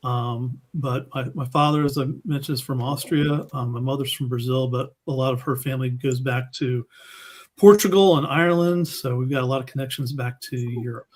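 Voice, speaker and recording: A man's voice: mid-range voice, steady tone, normal speed. A man co-hosting a casual livestream chat.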